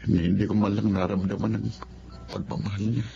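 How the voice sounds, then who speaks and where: An actor with a Filipino accent playing an earnest, sensitive young son in an emotional radio drama. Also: male voice, on the low side, flat delivery, quick pace, gravelly. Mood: sorrowful. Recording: dull lo-fi audio, loud music behind.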